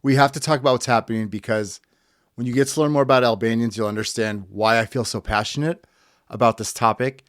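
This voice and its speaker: A man's voice: medium pitch, fairly even intonation, fairly quick, fairly bright tone, no room sound, studio quality. A man from the United States hosting a podcast, giving angry, blunt political commentary and swearing freely. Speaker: podcast host.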